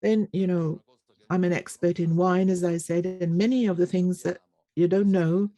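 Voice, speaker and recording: A conference interpreter giving a simultaneous English rendering of a panel discussion, halting often with fillers and pauses. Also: medium pitch, fairly even intonation, warm tone, dry recording.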